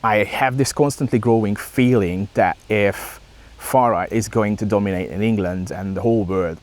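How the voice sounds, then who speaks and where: A man with a fairly low voice and a Hungarian accent speaks reflectively, as if to his vlog viewers. His English is non-native, with hesitant phrasing as he works through his thoughts. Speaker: vlogger. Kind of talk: reflective talk to camera.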